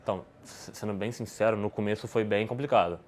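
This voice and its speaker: A man's voice: lowish voice, quick pace, a little hiss or hum. A Brazilian man, a podcast guest, answering questions in casual talk, rambling with many restarts and tag questions. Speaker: podcast guest, poker player.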